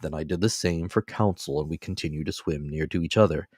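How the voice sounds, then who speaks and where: A man's voice: very deep voice, a little fast, darkish tone, husky, no room sound, clean studio sound. A man reading classic fiction as a dramatic, scripted audiobook narration. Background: faint music.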